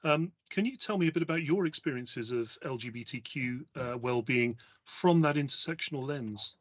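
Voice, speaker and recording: A man moderating a panel discussion in a measured, supportive manner. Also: mid-range voice, fairly bright tone, no room sound, lo-fi sound.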